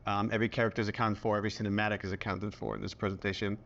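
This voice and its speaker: A man's voice: on the low side, flat delivery, rapid-fire, vocal fry, a bit bright, slight background noise. Man presenting to a team, casual and chatty, muffled lo-fi playback. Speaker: game director presenting to a team. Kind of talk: studio presentation. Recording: dull played-back documentary audio.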